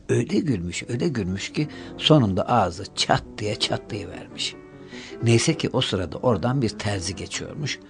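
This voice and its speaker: A man's voice: fairly low pitch, vocal fry. A man narrating a scripted children's fairy tale as a storyteller, with music behind him.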